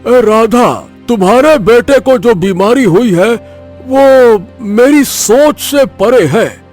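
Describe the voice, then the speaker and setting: A regretful village healer from a cartoon tale, voiced by a man. The voice is high, slow and apologetic, with a grave manner. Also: expressive, darkish tone, soft background music, exaggerated voice.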